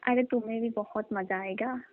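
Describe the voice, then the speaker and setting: A woman's voice: fairly high pitch, fairly even intonation, normal speed, background noise, lightly acted. A woman playing a flirtatious, pushy village aunt who coaxes and teases a younger repairman in a comic phone skit. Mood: flirtatious, coaxing. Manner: coaxing, teasing, colloquial. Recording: tinny, dry phone-call recording.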